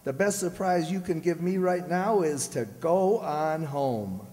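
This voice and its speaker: An actor playing a grumpy, blustering, scheming husband, folksy and wisecracking, in an old-time radio comedy. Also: male voice, fairly high pitch, measured pace, mellow, lightly acted. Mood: grumbling. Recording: very reverberant hall stage recording.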